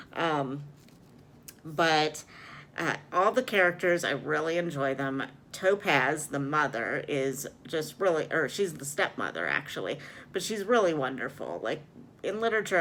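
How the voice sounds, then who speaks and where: A woman's voice: low voice, a bit bright, slight background noise. A woman chatting casually as a book vlogger, rambling and hesitant, often correcting herself as she goes. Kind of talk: casual chat, reading vlog.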